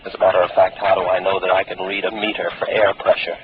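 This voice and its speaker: An American actor playing a weary, skeptical space station officer in a 1950s radio drama, with a wry, detached, musing manner. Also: male voice, sits low, little pitch movement, quick pace, close to an everyday voice. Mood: doubting, reasoning. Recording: muffled, noisy 1950s radio broadcast.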